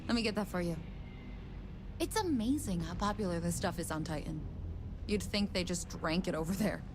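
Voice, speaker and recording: A woman playing a bored, deadpan food-counter server in a video game, serving without enthusiasm. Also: on the low side, normal speed, noisy background, natural voice. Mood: bored, resigned.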